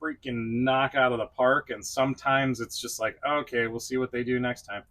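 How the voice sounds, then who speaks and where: A middle-aged man speaks as the host of a casual video review. His voice is bright and forward, at an ordinary male pitch. He is chatty and self-deprecating, talking directly to his viewers in an informal way. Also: little pitch movement, normal speed. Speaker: toy review channel host. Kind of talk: casual vlog-style review to camera.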